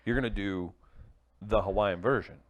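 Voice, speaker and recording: Chatty low-voiced male podcast host. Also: moderate pace. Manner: loose and chatty, full of "like" and fillers. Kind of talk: casual interview chat.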